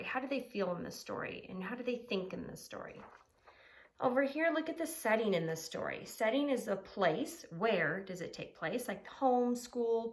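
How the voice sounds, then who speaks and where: Lady elementary teacher explaining stuff simply n reading to kids, super animated pitch, lots of little questions. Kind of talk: children's lesson and expressive storybook read-aloud.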